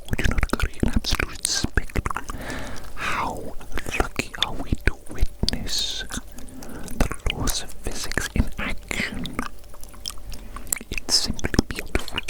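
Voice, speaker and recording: A woman's voice: lightly acted, poor audio. ASMR roleplay: female performer as self-satisfied mad scientist, fully whispered, condescending, savours repetition. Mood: delighted fascination. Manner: repeats phrases slowly, savouring them, condescending. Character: gloating evil mad scientist doctor, obsessed with experiments.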